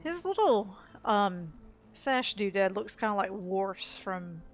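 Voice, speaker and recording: A woman's voice: medium pitch, sing-song, slow pace. A woman giving casual gameplay commentary with playful, slangy, informal asides. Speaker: game streamer. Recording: dull lo-fi mic over game music. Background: faint game music.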